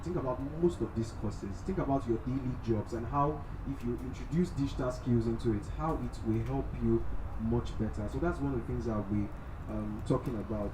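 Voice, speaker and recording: A young Nigerian man giving a motivational talk to a live audience, often checking in with short tag questions as he goes. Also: medium pitch. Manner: frequently checks in with "right?". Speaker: guest speaker. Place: event space in a mall. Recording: echoey, noisy venue, distant pickup.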